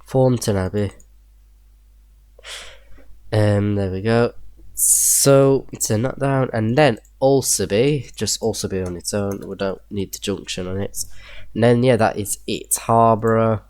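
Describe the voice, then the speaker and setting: Slow, muttering man. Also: on the low side, darkish tone, clean tone. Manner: muttering to himself, checking things aloud. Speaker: gaming video creator. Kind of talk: casual commentary while playing a rail sim.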